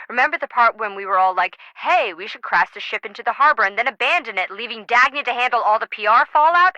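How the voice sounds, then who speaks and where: A woman's voice: fairly high pitch, sharp tone, no echo, muffled recording. An actress playing a brash, slippery woman treasure hunter in a video game, with wry, breezy, slangy teasing.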